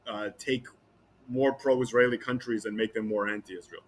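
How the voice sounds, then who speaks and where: A man giving a guest interview, talking in a halting way with many restarts and repeated words. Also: lowish voice, fairly even intonation. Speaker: guest commentator. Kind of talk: interview conversation.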